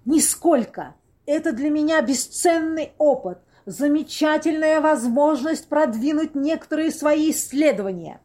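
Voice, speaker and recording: Woman playing a high-voiced theatrical séance medium. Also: lively intonation, measured pace, warm tone. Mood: eager. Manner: theatrical, solemnly enthusiastic. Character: grandiose, eager séance medium claiming astral research. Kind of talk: amateur audio play acting.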